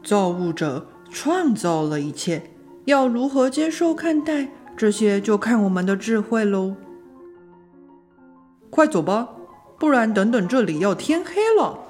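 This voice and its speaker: A chatty little dwarf guide voiced by a woman, very animated and knowing, explains things with a teasing edge. It is a children's storybook character, with faint music behind. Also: mid-range voice, measured pace, close to an everyday voice. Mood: knowing, urging. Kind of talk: children's audio storybook acting.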